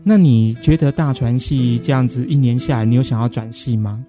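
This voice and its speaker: A man's voice: mid-range voice, fairly quick, mellow, soft background music. A man with a Taiwanese accent hosting a conversational radio interview, asking probing questions. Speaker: radio host.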